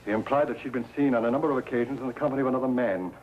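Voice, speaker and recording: A man's voice: mid-range voice, very fast, phone-quality sound, close to an everyday voice. An actor playing a polite, troubled employer and husband in a 1950s crime drama, formal and hesitant in his talk. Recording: noisy old 1950s TV drama soundtrack.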